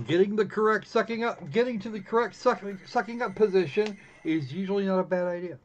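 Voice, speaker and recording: A man's voice: sits high, fairly quick. A man giving casual, snarky live commentary as he plays a visual novel, exclaiming and reading bits aloud. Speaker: streamer playing a visual novel. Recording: dull lo-fi microphone sound.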